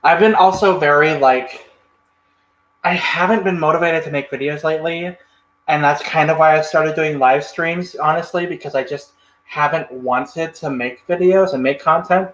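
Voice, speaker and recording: A man chats with his livestream viewers from a room at home, his fairly high voice wandering through friendly, rambling thoughts full of fillers. He keeps thanking people and answering comments as they come in. The sound is roomy, with a clear echo off the walls. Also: moderate pace, a bit bright. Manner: rambling, chatty, many fillers and restarts. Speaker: livestream host. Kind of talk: casual chat reading live comments.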